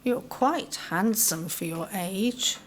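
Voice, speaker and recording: An actress playing a dreamy, genteel, frank spinster aunt in an audio play. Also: female voice, medium pitch, slow pace, a little hiss or hum, lightly acted. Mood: gentle, curious.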